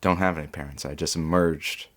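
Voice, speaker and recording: A woman voicing Frank, a male potato sidekick, in a much lower droll deadpan voice. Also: fairly quick, no room sound, studio quality. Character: male potato producer Frank, deep and punny.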